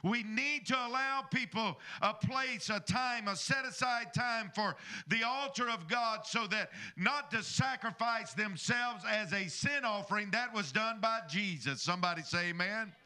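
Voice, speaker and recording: A middle-aged pastor preaches from a church stage, his voice pitched high and ringing with a very bright, edgy tone. He holds a handheld microphone and keeps drawing amens from the congregation. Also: a little slow, hardly any pauses. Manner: preaching with call-and-response asides to the congregation. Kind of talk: sermon.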